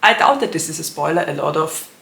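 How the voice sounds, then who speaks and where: A woman with a slightly raspy, hoarse voice, chatting casually about books in her vlog and giggling often between phrases. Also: deep voice, lively intonation, fast, a bit bright. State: hoarse from allergies. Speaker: book vlogger. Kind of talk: casual book vlog chat. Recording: echoey room.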